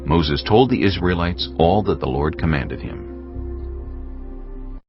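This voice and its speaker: Male narrator, gravelly fry, slow scripture reading, varied intonation, dull lo-fi recording. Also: deep voice, animated. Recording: dull lo-fi audio with music.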